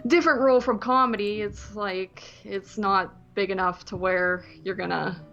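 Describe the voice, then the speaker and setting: Bright-voiced woman, dull lo-fi sound. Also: medium pitch, fairly even intonation, moderate pace, soft background music. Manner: informal, rambling, frequent "like". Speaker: movie podcast co-host. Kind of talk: casual chat reviewing films.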